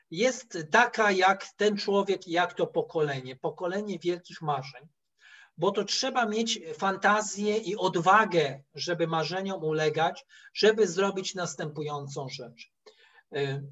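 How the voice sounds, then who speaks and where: Higher-voiced man lecturing, halting delivery. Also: fairly bright tone. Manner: halting, digressive storytelling with repeated words. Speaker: history professor, guest lecturer. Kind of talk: spoken history lecture with slides. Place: indoor room, video call. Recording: dull, lo-fi online call audio.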